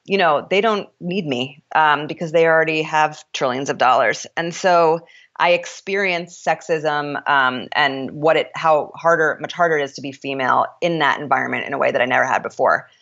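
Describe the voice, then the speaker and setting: A woman with a deep voice, an author and startup founder speaking as an interview guest, frank and opinionated, often restarting her sentences. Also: moderate pace, fairly bright tone, highs cut off. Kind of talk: candid interview conversation.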